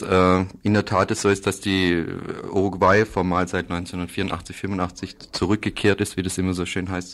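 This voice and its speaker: Deep-voiced man, halting radio interviewee. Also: a bit bright. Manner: halting, hesitant delivery with frequent pauses and repeated words. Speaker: interviewee reporting on Uruguay. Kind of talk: radio interview, explanatory political report.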